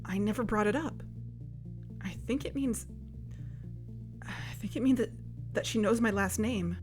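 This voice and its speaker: An actress playing a worried, guarded amateur investigator, speaking hesitantly in a naturalistic audio drama. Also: female voice, medium pitch, unhurried, a little mellow, faint music behind, lightly acted.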